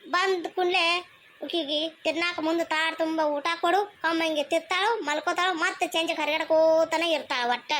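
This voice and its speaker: Woman as a very high-voiced griping young daughter-in-law. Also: fairly even intonation, normal speed, fairly bright tone, slight background noise. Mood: aggrieved. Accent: North Karnataka Kannada. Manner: plaintive, repetitive griping. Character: put-upon young daughter-in-law griping about her mother-in-law. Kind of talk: rustic comedy cartoon dialogue.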